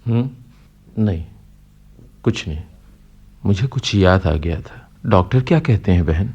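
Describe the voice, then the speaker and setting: An actor playing a kindly, concerned middle-aged postman in a Hindi radio play, speaking gently and coaxingly. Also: low voice, lively intonation, measured pace, breathy edge, muffled recording, heavily acted. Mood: pensive.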